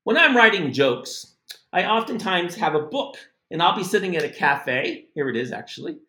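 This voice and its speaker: A middle-aged man from the United States giving a casual spoken language lesson, animated and chatty with asides. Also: high voice, moderate pace, roomy sound. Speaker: language teacher.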